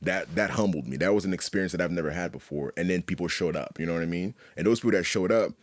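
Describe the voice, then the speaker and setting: A man from Haiti telling personal stories as an interview guest in a casual, candid, animated way, often checking in with "you know". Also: deep voice, quick pace, no echo. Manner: animated, repeats "you know what I mean". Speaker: podcast guest, artist.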